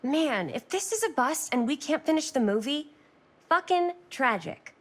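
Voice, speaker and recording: An actress playing a wry, driven young amateur filmmaker with an American accent in a video game, teasing and emphatic. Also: female voice, high-pitched, animated, moderate pace. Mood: wry, musing. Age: young adult. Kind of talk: naturalistic video game acting.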